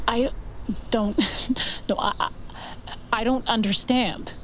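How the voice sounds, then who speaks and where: A woman's voice: fairly high pitch, fairly even intonation, slow, fairly bright tone, a little hiss or hum. Anxious, haunted young mother. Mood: insistent, shaken. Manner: hesitant, tense, earnest. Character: anxious haunted young mother, narrating her own horror. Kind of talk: audio drama narration and dialogue.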